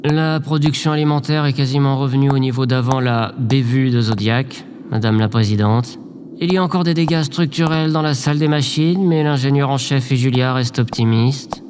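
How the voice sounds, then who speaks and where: A man's voice: mid-range voice, steady tone, normal speed, natural voice. A man playing a meek, dejected, obedient aide in an audio drama, formal, hesitant and deferential. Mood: dutiful. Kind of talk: scripted audio drama acting. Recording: long hall reverb, clear background noise. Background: ambient noise.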